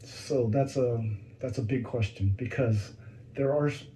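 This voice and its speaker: A man's voice: medium pitch, unhurried, mellow, slight background noise. A man, a fire department captain, plainly answering questions from commissioners at a public meeting. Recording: echoey room with faint ambience.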